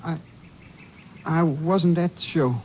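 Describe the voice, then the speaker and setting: An actor in a 1950s radio drama playing a frightened teenage farm boy with an anxious, breathy voice. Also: fairly high pitch, steady tone, takes it slowly, slight background noise. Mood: worried. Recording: dull lo-fi old radio recording.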